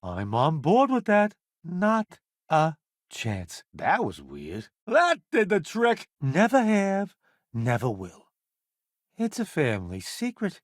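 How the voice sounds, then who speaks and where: A cocky, wildly expressive spacefaring foodie. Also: male voice, on the high side, takes it slowly, no echo, studio quality, full character voice. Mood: self-assured, wry. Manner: cocky, wry, theatrical showman delivery. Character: brash, self-assured veteran food journalist turned spacefaring crewman. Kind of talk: video game companion voice lines.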